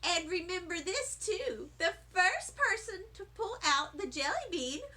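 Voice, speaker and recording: A woman voicing a showy, excited little first-grade girl making emphatic announcements in a children's story. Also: extremely high pitch, measured pace, voice pushed up, exaggerated voice. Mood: showy, thrilled. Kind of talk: children's audiobook character voice.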